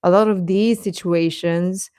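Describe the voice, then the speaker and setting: A woman hosting a casual fan livestream, rambling and halting as she replies to comments, often with a chuckle in her talk. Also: lowish voice, fairly even intonation, mellow, no room sound, studio quality. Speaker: host of a K-pop fan channel.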